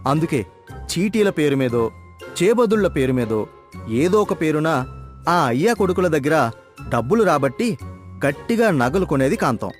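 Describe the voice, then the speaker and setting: Male storyteller, fairly high voice, scripted moral-tale narration over a music bed. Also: sing-song, moderate pace, long pauses.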